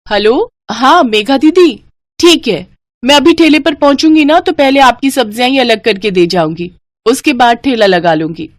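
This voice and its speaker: A woman's voice: fairly high pitch, animated, moderate pace, dry recording, clean studio sound, pitched above the performer's own voice, natural voice. A woman voicing a kind, hardworking woman vegetable seller in a moral story, respectful and eager to help. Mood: practical. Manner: respectful, reassuring, helpful. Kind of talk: Hindi moral story character dialogue.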